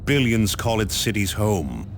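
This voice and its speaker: Deep, solemn war commander. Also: male voice, sing-song, very noisy. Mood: solemn, portentous. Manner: solemn, portentous, measured delivery. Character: grave, resolute male warrior commander briefing his troops. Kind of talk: scripted dramatic mission briefing narration.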